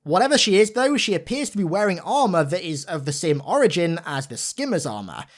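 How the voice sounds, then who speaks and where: A man's voice: high-pitched, sing-song, a little fast. A young man giving an informal, enthusiastic video game breakdown with an emphatic, gushing manner. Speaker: video game content creator.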